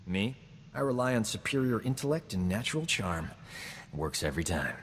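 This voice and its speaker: An actor playing a boastful, smooth-talking combat medic in a video game, joking and flattering himself. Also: male voice, lowish voice, fairly quick, in a large hall, slight background noise. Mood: cocky. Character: cocky boastful man, a frontier combat medic. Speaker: companion character in a video game. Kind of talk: video game character dialogue.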